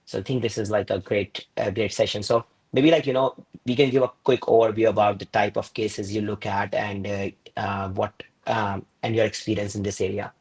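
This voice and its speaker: Flat, low-voiced male host. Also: normal speed, few pauses, slightly rough, poor audio. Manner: casual, hesitant, many fillers. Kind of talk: webinar interview.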